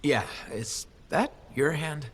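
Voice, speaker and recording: An actor playing a confident, wisecracking freelancer hero in a video game, wry and assured. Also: male voice, fairly high pitch, lively intonation, slow, husky, heavily acted. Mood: challenging. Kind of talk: video game protagonist dialogue.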